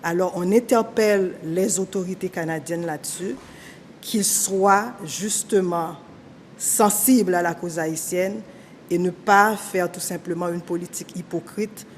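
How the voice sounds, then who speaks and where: A woman hosting a commentary show, speaking in a slow, deliberate way. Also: lowish voice, slight background noise. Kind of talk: measured spoken commentary.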